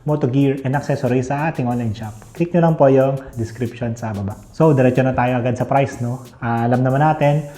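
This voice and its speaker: Filipino guy doing a chatty unboxing review, soft mellow voice, mid pitch, keeps adding little tag words. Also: fairly quick, hardly any pauses. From Philippines. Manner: conversational, frequently ends phrases with checking tag words. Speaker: host of a motorcycle gear review channel. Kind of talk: casual product review and unboxing, mixing Tagalog and English.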